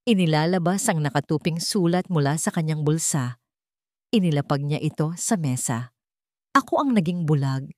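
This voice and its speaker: A woman's voice: sits low, darkish tone, no echo, studio quality. A woman narrating a scripted romantic drama as a storyteller.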